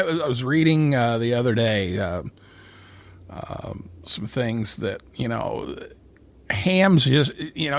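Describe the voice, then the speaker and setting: A man hosting a casual live talk show, speaking in a halting way and often repeating his words. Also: mid-range voice, fairly bright tone, no echo. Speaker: radio show host.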